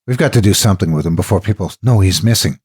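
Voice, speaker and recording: A man's voice: low voice, quick pace, darkish tone, slightly rough, no echo, clean studio sound. Man acting a horrified husband in a horror story. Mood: urgent, anxious. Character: horrified, protective husband, panicking at his wife's violence.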